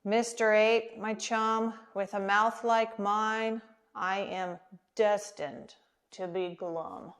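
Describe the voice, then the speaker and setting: A woman reading aloud and voicing a glum male pout fish in a sing-song rhyming chant at a slow pace. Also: mid-range voice, fairly even intonation, close to an everyday voice.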